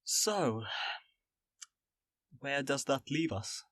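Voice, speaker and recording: An actor playing a weary but gentle male ex-agent in an audio drama, speaking in an understated, measured way. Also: mid-range voice, lively intonation, no room sound. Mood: gentle, apologetic.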